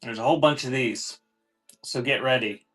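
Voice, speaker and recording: A man with a mid-pitched voice runs a live online sale. His delivery is casual and unscripted, like informal auction patter. Faint music plays behind him. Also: normal speed, a bit bright. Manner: informal, off-the-cuff announcing. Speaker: host of a live online auction selling cassette tapes. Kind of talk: casual livestream sales patter.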